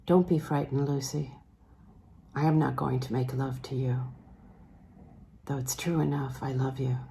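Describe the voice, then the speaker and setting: Woman reading as a tender, melancholy older man, softly mellow. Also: rumbling low, fairly even intonation, measured pace, gravelly, pitched below the performer's own voice, exaggerated voice. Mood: gentle, reassuring. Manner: gentle, hesitant, earnest. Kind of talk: literary audiobook dialogue.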